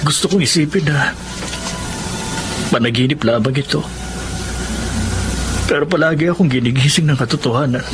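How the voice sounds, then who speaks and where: Man playing a troubled, halting young friend. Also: fairly high pitch, close to an everyday voice. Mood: grieving. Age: young adult. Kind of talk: emotional Filipino drama acting. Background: music.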